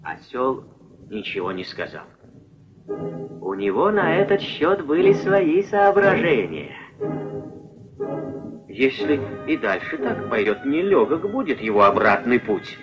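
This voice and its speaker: A man narrating a puppet-film fairy tale as a wry, measured, expressive storyteller. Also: medium pitch. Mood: wry, storytelling. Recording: dull old film soundtrack, music behind.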